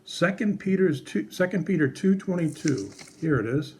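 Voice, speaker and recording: A man's voice: fairly high pitch, animated, normal speed, darkish tone, a little hiss or hum. A man presenting a casual Bible lesson for children in a puppet show, explaining things simply and conversationally.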